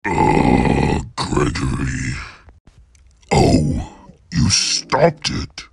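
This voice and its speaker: A man puts on a big, friendly robot bear for a comic dub. The delivery is that of an upbeat, fatherly showman, with lively pitch movement and a slightly creaky, husky edge. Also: very slow, dry recording, full character voice. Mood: worried.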